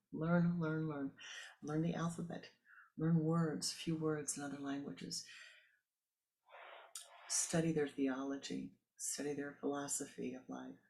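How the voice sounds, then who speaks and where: A man's voice: a little high, creaky, darkish tone, poor audio. A man giving a spiritual talk, musing aloud and repeating words for emphasis. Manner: emphatic repetition, musing asides. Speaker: spiritual teacher. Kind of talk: sermon.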